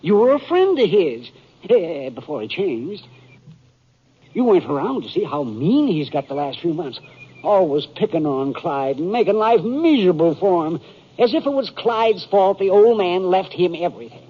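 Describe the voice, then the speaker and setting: An excitable, folksy American cowhand in an old radio Western. His pitch is high and leaps about emphatically. The 1950s recording sounds dull and muffled. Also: normal speed, breathy edge, heavily acted. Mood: sly, needling. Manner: emphatic, drawling folksy talk. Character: excitable, folksy Western posse man and eyewitness.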